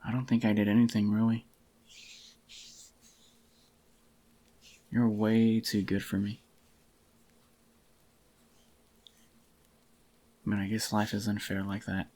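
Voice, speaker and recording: A man playing a doting, possessive yandere boyfriend in a soft-spoken ASMR roleplay, with a tender, intimate murmur and a husky, slightly groggy voice. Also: on the low side, monotone, brisk, natural voice. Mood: adoring, possessive.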